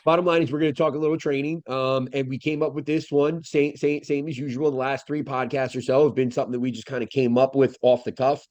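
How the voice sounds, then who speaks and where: A man's voice: mid-range voice, little pitch movement, fast, few pauses, darkish tone, dry recording. A man co-hosting a podcast in casual chat over a video call, congested through the nose, rambling and swearing with lots of fillers and restarts. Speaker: podcast co-host.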